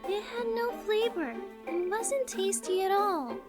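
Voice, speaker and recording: A woman's voice: very high voice, expressive, measured pace, over music. Tiny-voiced, innocent sweet girl. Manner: cutesy, naive, guileless. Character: innocent, sweet, childlike teenage girl, speaks in third person. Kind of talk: visual novel fan dub acting.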